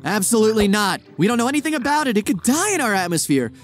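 A man's voice: high-pitched, big pitch swings, quick pace, soft background music. A man voicing a bossy, pompous know-it-all scientist sidekick in a kids' gaming cartoon. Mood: brisk, stern. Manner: pompous, dramatic.

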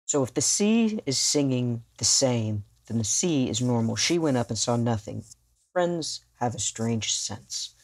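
Mid-pitched voice narrating an rpg, slow and halting, thinking it out as they go. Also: expressive. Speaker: tabletop game player. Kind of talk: improvised narration of a tabletop role-playing game.

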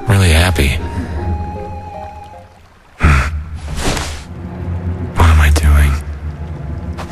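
Man playing a deep, laid-back skeleton. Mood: troubled, reflective. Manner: low-key, drawling, reflective. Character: weary, laid-back adult male skeleton, brooding and kind. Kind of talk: animated comic dub acting.

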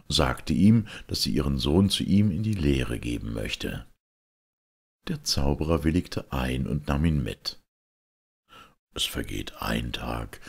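A male audiobook reader tells a fairy tale in an extremely deep, husky voice. His scripted narration is expressive, with lively rises and falls.

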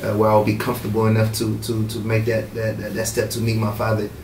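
A man's voice: on the low side, fast. A young man, a hip-hop artist talking casually in a radio studio interview, full of fillers and restarts. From Morocco. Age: 20s. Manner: relaxed, many fillers and restarts. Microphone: studio mic with headphones, heard from a far camera. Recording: roomy, distant camera audio with faint noise.

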